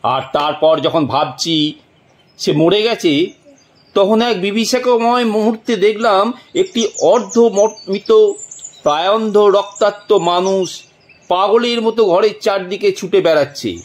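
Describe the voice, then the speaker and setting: A man narrating a suspense story from a script in a dramatic, deliberate way, with long pauses. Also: sits high.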